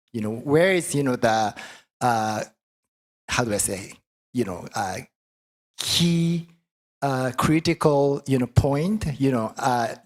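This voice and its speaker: Male panel moderator, mid-pitched, halting delivery full of fillers as he searches for words. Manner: halting, heavy use of "you know" fillers. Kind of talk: panel discussion questions.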